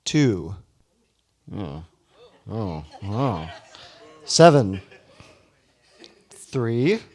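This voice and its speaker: A man's voice: on the low side, very animated, very slow, darkish tone. A man hosting a live ceremony in a casual, informal way with playful banter. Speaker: event host. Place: live awards ceremony before an audience.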